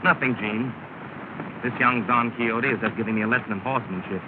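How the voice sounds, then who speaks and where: An actor playing a jealous, sulky well-off suitor in a 1930s film, with a clipped, haughty manner. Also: male voice, medium pitch, fairly quick, phone-quality sound, natural voice. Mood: irritated, haughty. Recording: scratchy, thin, noisy 1930 film soundtrack.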